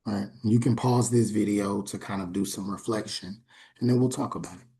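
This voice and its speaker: A man giving a recorded class lecture in a conversational way, often checking in with "right?" and pausing on "um". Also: fairly low pitch, steady tone, warm tone. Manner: conversational, frequent "right?" check-ins and "um" pauses.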